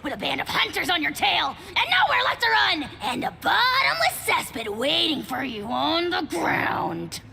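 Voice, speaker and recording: A tiny video game dragon lord, squeaks with enormous self-importance. The very high voice swoops about and cracks into gravel as it spits haughty insults, with music behind. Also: female voice, sing-song, moderate pace, few pauses, bright, forceful tone, full character voice. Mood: haughty fury. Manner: pompous, insult-spewing, grandiose royal "we". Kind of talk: video game character acting.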